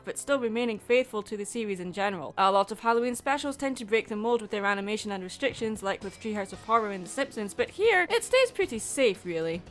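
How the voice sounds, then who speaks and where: A woman's voice: on the high side, a bit bright. A woman hosting a cartoon review channel, reading a scripted video essay narration.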